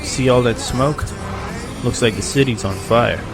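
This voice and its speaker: Guy as cocky joker mercenary, mid pitched voice, audio drama, music going behind. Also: a little slow, natural voice, poor audio. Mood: wary. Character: cocky, joking male mercenary fighter.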